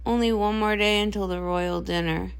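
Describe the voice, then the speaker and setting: A woman voicing a grumpy, anxious young pony princess, a tomboy sister with a flat, sardonic, reluctant way of talking. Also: medium pitch, moderate pace, gravelly, clear, resonant tone, pitched below the performer's own voice, close to an everyday voice. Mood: weary, worried.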